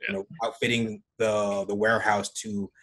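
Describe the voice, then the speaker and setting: A man's voice: lowish voice, level tone, normal speed, fairly bright tone. A man in his thirties hosting an interview over a video call, friendly and encouraging, asking long rambling questions. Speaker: podcast host. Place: room with bookshelf at home. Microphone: webcam on a video call.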